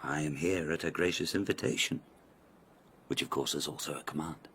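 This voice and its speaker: An actor playing a haughty, sardonic palace lord in a radio drama, speaking with formal, mocking insinuation. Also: male voice, a bass voice, fairly quick, fairly bright tone, slight background noise.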